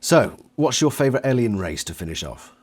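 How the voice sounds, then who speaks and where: A British man chatting casually as an interviewer, jokey and quick to swear. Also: mid-range voice, very animated. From Britain.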